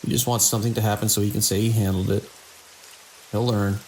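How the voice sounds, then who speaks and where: A man playing a seasoned, wry security chief in an audio drama, with a measured, world-weary, procedural delivery and a gravelly voice. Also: on the low side, level tone, darkish tone, lightly acted, rough recording. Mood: reflective. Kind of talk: audio drama dialogue and log monologue. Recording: faint hiss and rain-like noise.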